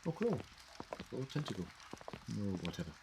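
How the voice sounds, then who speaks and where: A man plays a confident, eccentric time traveller with a wry streak. His intonation is lively and self-assured. Clear noise sits behind this amateur audio drama. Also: lowish voice, moderate pace. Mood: reassuring, musing. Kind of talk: fan audio drama acting.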